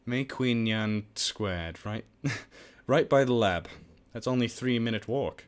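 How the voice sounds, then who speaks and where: A man's voice: medium pitch, slow, clear, resonant tone. A man hosting a let's play, reading game text aloud and breaking into jokey, exclamatory asides. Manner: jokey, exclamatory, swings between reading and goofy outbursts. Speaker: host of a game playthrough series.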